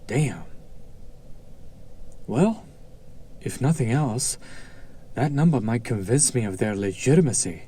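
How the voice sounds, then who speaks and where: A man playing a haughty, coolly amused demon buyer in a roleplay audio drama, cultured and menacing, with a deliberate, condescending, taunting delivery. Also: lowish voice, mellow, a little hiss or hum. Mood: cool, businesslike.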